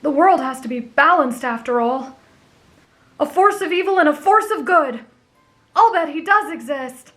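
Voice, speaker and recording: Woman as kind big-brother boy, anime roleplay, high-pitched, sincere, gentle, earnest, soft background music. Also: animated, normal speed. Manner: sincere, reassuring.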